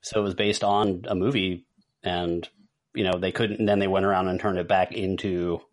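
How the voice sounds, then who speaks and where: A man's voice: deep voice, little pitch movement, normal speed. A man co-hosting a podcast, talking in a casual, conversational style. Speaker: podcast host. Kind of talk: casual chat about movies and games.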